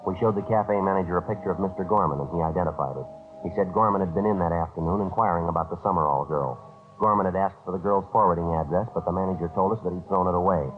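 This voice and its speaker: An American man acting as a terse, methodical police detective in a vintage radio crime drama, speaking in a matter-of-fact deadpan. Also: sits low, close to an everyday voice. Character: terse, methodical male police detective narrating his case. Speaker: narrator and lead actor. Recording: dull old radio broadcast, music behind.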